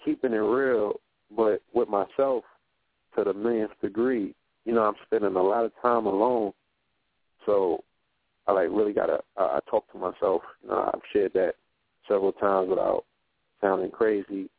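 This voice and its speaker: A man with a low, flat voice talks in a reflective, unhurried way on a radio roundtable. He often checks in with his listeners as he goes. His voice is thin and dry, as if it comes down a phone line. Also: gravelly, dry recording. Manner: reflective, repeats "you know what I'm saying". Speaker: radio show guest. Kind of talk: casual roundtable talk.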